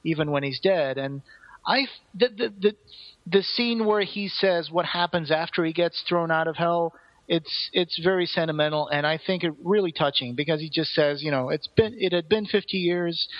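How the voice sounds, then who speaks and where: A man co-hosting a podcast, casually retelling and discussing a play in a rambling, halting, earnest way. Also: a little high, dull audio. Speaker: podcast co-host.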